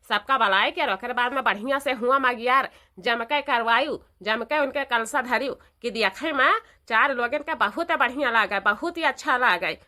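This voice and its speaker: A woman's voice: sits high, pushed and bright, exaggerated voice. A woman voicing a bossy but kindly old village mother-in-law in a cartoon, with an eastern Uttar Pradesh accent and a repetitive, instructing manner. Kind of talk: cartoon dialogue.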